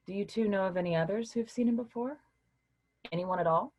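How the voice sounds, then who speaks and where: A woman playing a weary but caring literature professor in a staged reading, speaking in a measured, hesitant, reassuring way with a husky voice. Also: medium pitch, darkish tone, close to an everyday voice. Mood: gentle, earnest.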